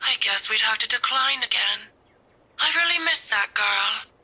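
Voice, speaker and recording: A woman acting as a warm, wistful middle-aged mother in a video game, affectionate and gently coaxing. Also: a little high, animated, a little slow, breathy edge, full character voice, low-quality recording. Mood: regretful.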